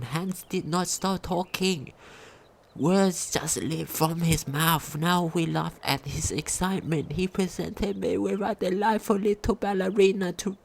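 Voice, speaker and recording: A woman's voice: mid-range voice, slight background noise. A woman reading a diary aloud during a game playthrough, sometimes stumbling over longer words. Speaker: game commentator.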